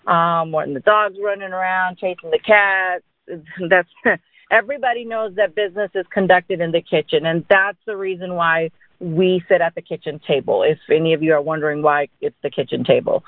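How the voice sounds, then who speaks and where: A woman's voice: lowish voice, no echo. Woman trainer on a phone line. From born in India, grew up in Dallas, Texas. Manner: explanatory, with frequent check-in tags like "right?" and "okay?". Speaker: insurance agent giving sales training. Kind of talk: informal instructional talk. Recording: narrow-band phone-line sound.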